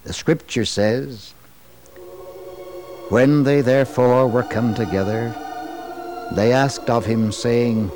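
A man narrating a dramatized 1960s religious radio program in a solemn, measured, theatrical storytelling manner. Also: medium pitch, fairly even intonation, over music, rough recording.

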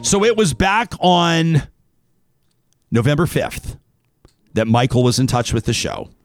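A man's voice: a little high, big pitch swings, measured pace, faint music behind. A man hosting a talk radio show, reading ads and a listener's email in a studio in an upbeat, conversational way. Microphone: studio microphone.

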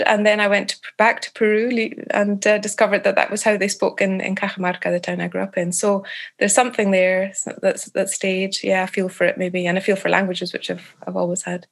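A Scottish woman answering interview questions thoughtfully, pausing often with fillers and restarting phrases. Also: medium pitch, a bit bright. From Scotland. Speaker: author guest.

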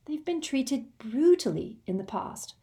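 An earnest storybook schoolgirl , voiced by a woman, with lively movement in her pitch. She is reasonable and explains what she knows carefully. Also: a little slow, exaggerated voice. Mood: reproving. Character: earnest, reasonable, knowledgeable teenage girl.

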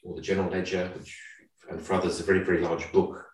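A middle-aged man from Zimbabwe giving measured, slightly hesitant explanations as a guest in a conversational interview. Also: sits low, little pitch movement. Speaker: podcast guest. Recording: roomy, distant microphone.

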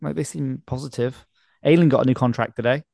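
Gravelly man bantering about football. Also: medium pitch, steady tone, brisk, darkish tone, no room sound, clean studio sound. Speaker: football podcast host. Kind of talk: casual sports banter. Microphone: close podcast mic on a video call.

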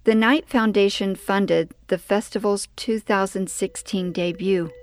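A woman's voice: medium pitch, steady tone, measured pace. A woman radio interviewer reading a scripted intro and asking appreciative questions.

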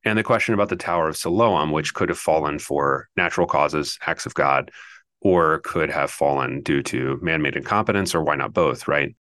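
Very deep-voiced man, dry sound. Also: moderate pace, a bit bright, no room sound. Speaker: podcast co-host. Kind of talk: casual theological discussion.

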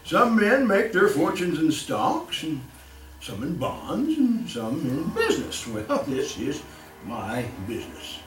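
Sinister old murderer, wildly swinging pitch, slow delivery, chuckling mock courtesy, radio horror, distant roomy stage. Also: male voice, wildly swooping pitch, a bit bright, slight background noise, full character voice. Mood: gleeful menace. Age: older. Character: gleeful, sinister old male serial killer. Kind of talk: live old-time radio horror acting. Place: live stage performance.

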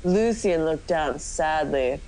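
A woman's voice: low voice, animated, slow, dark tone, a little hiss or hum, poor audio. A woman giving a comic dramatic reading of fan fiction, often giggly as she goes. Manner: giggly, often breaking into laughter. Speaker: podcast host doing a dramatic reading.

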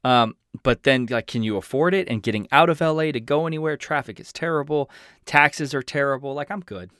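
A man's voice: medium pitch, brisk, a bit bright, smooth, no echo, clean studio sound. A man from Southern California, a guest on a movie podcast, talking bluntly with strong opinions and casual swearing. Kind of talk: casual chat.

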